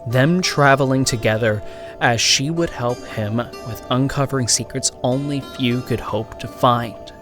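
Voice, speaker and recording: A man narrating a horror story in a solemn, suspenseful, dramatic way. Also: mid-range voice. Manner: slow, solemn, suspenseful delivery. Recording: music behind the voice. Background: music.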